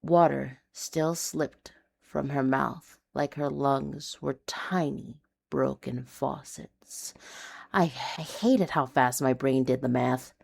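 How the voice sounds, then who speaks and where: A woman reading a noir urban fantasy story in a hushed, dramatic, deliberate way. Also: very deep voice, vocal fry. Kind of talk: scripted noir confessional storytelling.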